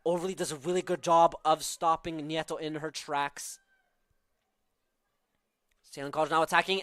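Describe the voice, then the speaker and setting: A man's voice: fairly high pitch, a bit bright, clean tone, no room sound, clean studio sound. A young man from southern Norway with a Norwegian accent, calling live college soccer with tactical analysis and frequent fillers. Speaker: play-by-play commentator.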